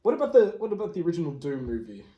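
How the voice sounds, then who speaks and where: A man's voice: on the high side, very animated, very fast, mellow. A man co-hosting a podcast in casual conversation. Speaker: podcast co-host. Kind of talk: casual chat. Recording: echoey room sound.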